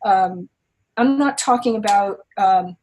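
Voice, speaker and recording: A woman guest on a podcast, talking thoughtfully and haltingly, often restarting as she searches for words. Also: mid-range voice, a little mellow, rough recording. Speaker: guest, poker writer. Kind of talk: podcast interview, reflective.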